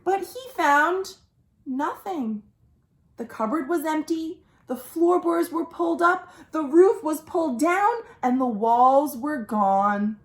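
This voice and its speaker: A woman reading a picture book aloud for young children as a storytime host, animated, with questions to listeners and dramatic pauses. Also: high voice. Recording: roomy, echoing indoor sound.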